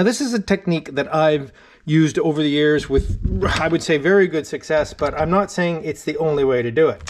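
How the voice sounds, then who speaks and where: A middle-aged man casually talking through his hands-on work to the camera, with halting pauses as he goes. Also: medium pitch. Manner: talks haltingly while working, with pauses. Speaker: vlog host, boat restorer. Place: inside a wooden boat in a shed and at its workbench. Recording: noisy workshop recording with clicks.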